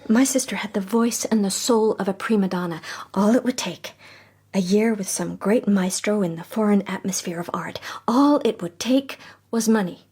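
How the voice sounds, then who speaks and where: A woman acting as a young society lady who works as a detective in a radio mystery. She speaks with refined, heartfelt earnestness and a proud, loyal streak, at a middling pitch. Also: moderate pace, a little mellow, husky, lightly acted. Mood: earnest, reflective.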